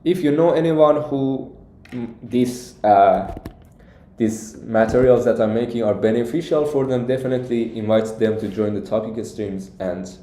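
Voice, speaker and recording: Mellow young Iranian-accented man, echoey room. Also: mid-range voice, normal speed, slight background noise. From Iran. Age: young adult. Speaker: competitive programming coach and live streamer. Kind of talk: casual live stream Q&A with viewers. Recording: echoey, roomy sound.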